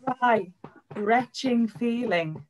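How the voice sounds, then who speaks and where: A woman with a fairly high voice speaks in slow, halting phrases over a video call. Her voice is fading as she talks. She says each short phrase so it can be repeated back. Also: slight background noise, rough recording. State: losing her voice. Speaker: tapping client. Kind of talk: tapping session phrases.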